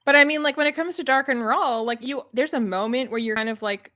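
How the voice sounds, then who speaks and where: A woman's voice: high-pitched, brisk, bright, forceful tone, dry recording, studio quality. A woman chatting casually and animatedly about books on a livestream, giggling and acting out quotes as she goes. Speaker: book discussion co-host. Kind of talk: casual livestream book chat.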